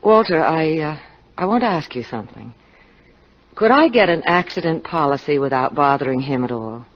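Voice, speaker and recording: Pleading, scheming wife on muffled old radio. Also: female voice, sits low, expressive, normal speed. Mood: anxious, coaxing. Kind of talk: 1950s radio drama acting. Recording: dull, lo-fi 1950 radio broadcast.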